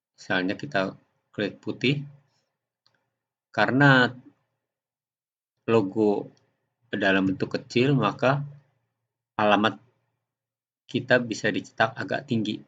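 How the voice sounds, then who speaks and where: A man gives an unscripted software demonstration, explaining step by step in a halting way. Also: on the low side, muffled recording. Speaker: software tutorial presenter. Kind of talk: unscripted screen demonstration narration.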